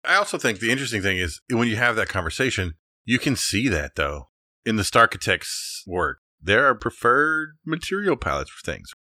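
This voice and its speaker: A man's voice: on the low side, sing-song, moderate pace, bright, forceful tone, no echo, clean studio sound. A middle-aged man co-hosting a podcast, chatting casually and chiming in agreeably. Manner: agreeable, interjecting reactions. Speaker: podcast co-host, architect.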